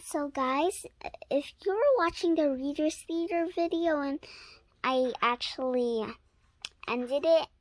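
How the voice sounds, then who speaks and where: High young voice, very slow read-aloud, halting, repeats words as they are sounded out. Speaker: reader and channel host. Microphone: handheld phone.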